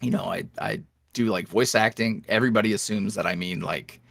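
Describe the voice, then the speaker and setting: A man's voice: fairly quick, creaky, no room sound, studio quality. A young man, an ASMR roleplay creator, chatting candidly as a guest in an interview. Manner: candid, animated, jumps up in pitch when quoting others. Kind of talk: casual interview chat.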